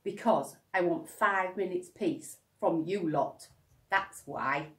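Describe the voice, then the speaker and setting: A woman's voice: fairly low pitch, slow pace, a bit bright, roomy sound, distant mic. A woman voicing a weary, exasperated mother, firm and sighing, in a storybook reading. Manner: firm, sighing, long-suffering.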